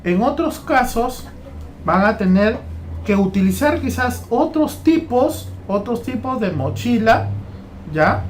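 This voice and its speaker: A man's voice: very high-pitched, animated, takes it slowly. Peruvian guy teaching teachers, repeats himself a lot, bit of hiss behind. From Peru. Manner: repeats phrases, keeps asking "¿ya?". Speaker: teacher trainer. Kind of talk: explaining a lesson plan.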